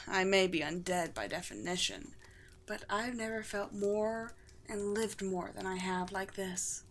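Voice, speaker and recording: A woman voicing a gentle, regal undead vampire queen in a scripted ASMR roleplay, speaking formally and poetically with tender, light amusement. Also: medium pitch, animated, unhurried, a little hiss or hum. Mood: tender, wistful.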